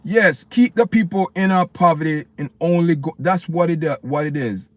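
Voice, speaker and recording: Man, Jamaican accent, fairly high voice, impassioned, repetitive, preachy livestream host. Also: moderate pace, no echo. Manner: impassioned, repetitive, preachy, addresses viewers as "people". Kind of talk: opinionated live-stream rant.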